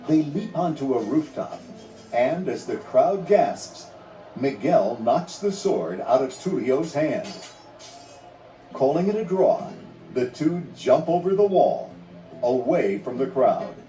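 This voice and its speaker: A man's voice: medium pitch, animated, slow pace, dark tone. A man reading a children's read-along story in a dramatic, scripted storytelling manner. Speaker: narrator. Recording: roomy, distant sound under music.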